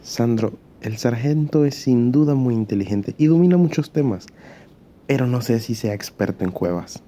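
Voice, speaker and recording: Casting: male actor as sarcastic young soldier, mid-pitched, very mellow and muted timbre, mocking his comrades. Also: animated, normal speed, close to an everyday voice. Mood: subtly ironic. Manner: ironic, needling. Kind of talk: amateur audio drama acting.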